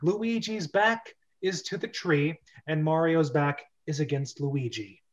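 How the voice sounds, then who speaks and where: A man narrating a script read-through, casually reading stage directions in a halting way and correcting his misreadings. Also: fairly high pitch, highs cut off.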